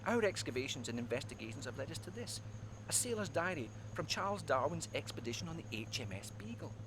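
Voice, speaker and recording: An actor playing a scheming older male scientist who speaks in a measured, theatrical and smug way in a video game. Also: on the high side, very animated, noisy background. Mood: persuasive.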